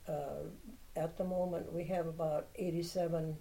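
A woman's voice: sits low, level tone, moderate pace, creaky, warm tone. An older woman whose first language was Czech, unhurriedly reminiscing in an oral history interview and giving plain opinions. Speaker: interviewee, Czech heritage club member.

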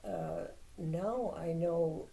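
Slow, mellow-voiced older woman reminiscing. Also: on the low side, big pitch swings. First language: Czech. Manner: unhurried reminiscing, plainspoken opinions. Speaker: interviewee, Czech heritage club member. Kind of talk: oral history interview.

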